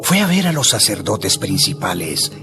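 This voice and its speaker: A man narrating a dramatized Bible reading with expressive storytelling. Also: on the low side, moderate pace, vocal fry. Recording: music bed throughout.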